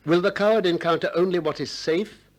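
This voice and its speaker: An actor playing a male philosopher in a 1960s radio drama, courteous but relentless, articulate and measured as he questions insistently. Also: sits high, lightly acted. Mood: probing, insistent.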